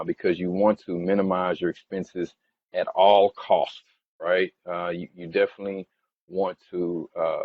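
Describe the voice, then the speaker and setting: A man giving informal explanatory commentary as a financial analyst, haltingly putting things in plain everyday terms, on a dull lo-fi recording. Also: low voice. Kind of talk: informal explanatory commentary over earnings slides.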